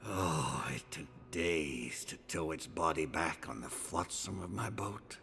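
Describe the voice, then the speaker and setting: An actor playing a sick old braggart of a hero in a fantasy video game, rambling and wistful. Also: male voice, rumbling low, lively intonation, unhurried, vocal fry, pushed and bright, husky, exaggerated voice. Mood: wistful, weary. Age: older. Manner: rambling, boastful storytelling. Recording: music behind the voice.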